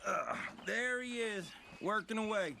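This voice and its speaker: A man's voice: high-pitched, expressive, measured pace, vocal fry, bright, forceful tone, slight background noise, exaggerated voice. An actor playing a talkative, folksy hired gunman and family man who reassures his employer, in a video game cutscene. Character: chatty hired gun, family man, confident.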